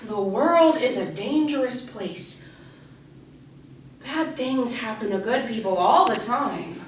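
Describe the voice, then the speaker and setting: Intense, falsely sweet female grade-three teacher acted by a woman, stage monologue to children, dramatic pauses, heard from afar in a reverberant room. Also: a little high. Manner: over-enunciated, addressing children, dramatic pauses. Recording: echoey room, distant mic, faint noise.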